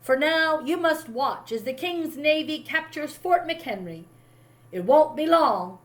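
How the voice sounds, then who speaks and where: A sneering British navy man from a storybook, acted out by a woman reader, pitched up and loud. He gives orders in a bossy, taunting way. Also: expressive, unhurried. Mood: bossy, gloating.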